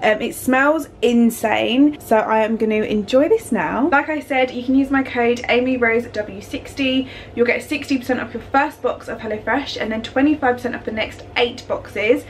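Young girl vlogging on her phone at home, chatty, fairly high voice, faint hiss. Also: moderate pace, hardly any pauses. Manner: chatty and upbeat, lots of "like" and "um". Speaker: lifestyle vlogger. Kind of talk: casual vlog chat to camera. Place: bright home kitchen and bedroom, indoors. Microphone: phone held at arm's length.

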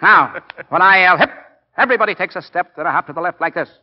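An actor playing a driven, commanding college football coach in a brisk, urgent 1940s radio style. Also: male voice, high-pitched, natural voice. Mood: excited. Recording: muffled old 1940s radio recording.

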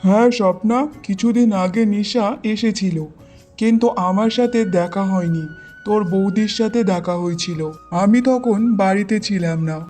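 A woman's voice: mid-range voice, normal speed, dark tone, soft background music, lightly acted. A woman voicing a hospitable village elder brother in a fairy-tale cartoon. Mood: welcoming.

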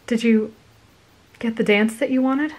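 Woman reading a soft sincere young woman, bit hesitant. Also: on the high side, a little slow, pitched above the performer's own voice. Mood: tender. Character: soft-spoken young woman, the reader's self.

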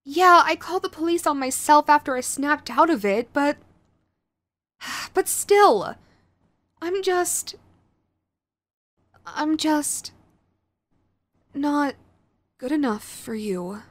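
Woman acting a high-voiced, clingy yandere girlfriend. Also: expressive, fairly bright tone, no echo, full character voice. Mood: remorseful, nervous. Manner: halting, gushing, apologetic, swinging between eager and sheepish. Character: sweet, clingy yandere girlfriend, nervous, trying hard to reform. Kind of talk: romantic audio roleplay, acted to the listener.

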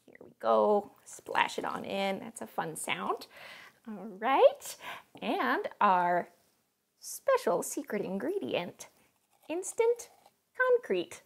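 A young woman playing a chirpy, wacky cooking-show host in a home kitchen, with a bubbly sing-song delivery and sudden hushed asides. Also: a little high, sing-song, slow, creaky, exaggerated voice. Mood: perky. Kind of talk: parody cooking show, scripted comedy.

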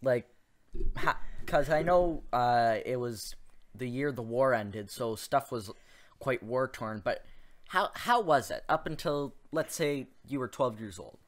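A man's voice: mid-range voice, expressive, measured pace. A man hosting a podcast interview with his grandmother, asking questions in a hesitant way with many fillers. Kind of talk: casual interview.

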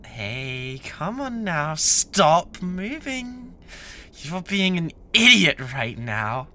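Man acting slow-talking, obsessive British yandere classmate. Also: sits high, sing-song, pushed and bright, full character voice. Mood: desperate, angry. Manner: menacing, intimate, slow and theatrical. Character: obsessive, unhinged, bratty young male yandere classmate. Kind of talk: ASMR roleplay monologue.

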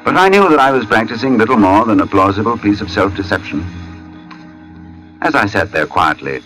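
Male, low register, expressive swings, as a haunted, brooding storyteller in vintage radio suspense, music underneath. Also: low-quality recording. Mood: uneasy, haunted. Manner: brooding, deliberate, halting when shaken. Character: uneasy male sketch artist narrating an eerie tale. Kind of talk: 1940s radio suspense acting. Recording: old radio recording with music behind.

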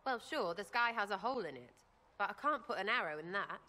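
An actress with an English accent playing a cheeky, irreverent young elf archer in a video game, rambling in blunt slang. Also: female voice, mid-range voice, lively intonation, a little fast, sharp tone. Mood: cheeky, playful. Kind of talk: video game character acting.

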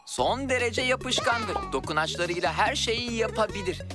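A woman's voice: medium pitch, expressive, moderate pace, natural voice. A woman voicing a thoughtful, earnest young boy who explains things expressively in a children's cartoon. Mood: explaining. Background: children's music.

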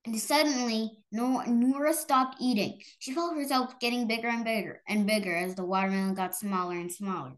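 A woman reading a children's story aloud, haltingly and stumbling over harder words. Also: on the high side.

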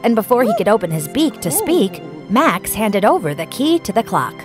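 A woman narrating a children's bedtime story in an expressive, clearly enunciated storytelling manner. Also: mid-range voice, moderate pace. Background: background music.

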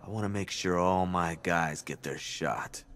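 Gloating, sharp-voiced militia thug. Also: male voice, low voice, measured pace. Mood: gloating menace. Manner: taunting, menacing. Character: gloating sadistic militia thug tormenting a captive. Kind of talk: video game acting.

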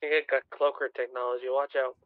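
A man speaks fast with very little pitch movement, chatting in a game roleplay. His voice comes through thin and phone-like, with slight noise. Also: little pitch movement. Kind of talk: improvised game roleplay chat. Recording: tinny, phone-like band with faint noise.

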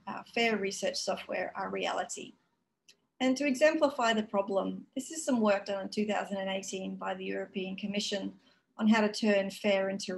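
Woman, keynote speaker, measured explaining, gravelly edges, webcam, faint background noise. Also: medium pitch, low-quality recording. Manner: measured, explanatory, with frequent uh fillers. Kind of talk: conference keynote talk. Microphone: video call webcam.

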